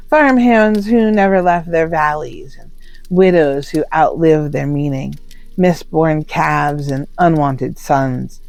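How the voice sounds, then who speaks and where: A low-pitched woman narrates an old folktale. Her delivery is solemn and deliberate, broken by short dramatic pauses. The sound is roomy and echoing, with faint music underneath. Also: gravelly, a little mellow. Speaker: storyteller.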